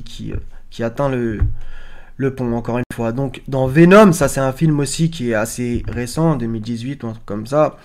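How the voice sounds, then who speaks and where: A male online host talks through images on his screen in an informal way. His voice sits at a medium pitch. He explains in a rambling, insistent manner, often letting his points trail off. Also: a little mellow. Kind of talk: informal screen-share commentary on film posters.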